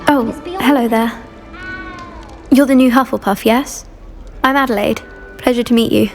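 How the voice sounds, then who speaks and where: A woman playing a polite, gentle, slightly anxious teenage schoolgirl in a video game. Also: a little high, steady tone, moderate pace, faint music behind, natural voice. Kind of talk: video game character dialogue.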